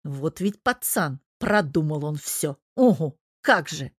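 A woman voicing a gruff older father, a stern but amused tough boss who needles, in a story reading. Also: low-pitched, expressive, slow pace, dry recording, studio quality, heavily acted. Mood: mock indignation.

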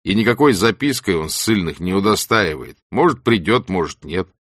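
Guy doing a wry gruff sick exile from an audiobook, deep voice, chatty and animated, dry close recording. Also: moderate pace, dry recording. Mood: aggrieved, wry. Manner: animated, colloquial storytelling. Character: gruff, wry, ailing male ex-camp exile patient.

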